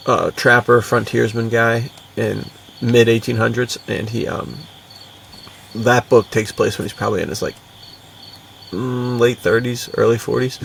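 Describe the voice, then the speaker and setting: A man's voice: medium pitch, creaky, a bit bright. A man chatting casually as a podcast co-host, rambling and enthusiastic, with plenty of slang. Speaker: podcast co-host and fiction writer. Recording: distant mic with faint background noise.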